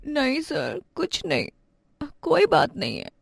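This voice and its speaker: A woman's voice: sits high, huge pitch swings, slow pace, gravelly, dry recording, studio quality, pitched above the performer's own voice, exaggerated voice. Woman voicing an earnest, humble young schoolboy. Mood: ashamed. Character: earnest, selfless poor schoolboy, humble and polite. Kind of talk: storybook character acting.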